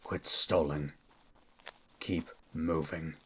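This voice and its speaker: A man playing a stern, menacing monster hunter in an ASMR roleplay, with a low, gruff voice and a terse, threatening manner. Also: little pitch movement, drawn-out words, a bit bright, a little hiss or hum, exaggerated voice. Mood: cold, confident. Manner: terse, threatening, grunts hmm.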